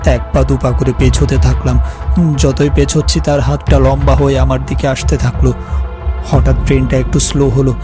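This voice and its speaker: A man's voice: mid-range voice, level tone, moderate pace. A man narrating a first-person horror story in a dramatic style. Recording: music behind the narration. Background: music.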